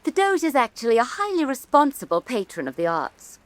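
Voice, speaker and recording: A woman's voice: high voice, sing-song, fairly quick, no echo. An actress playing an elegant, insinuating woman art critic of Renaissance Venice, arch and mannered, in a theatrical radio drama. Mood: smooth, persuasive. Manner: arch, mannered, lingering, condescending.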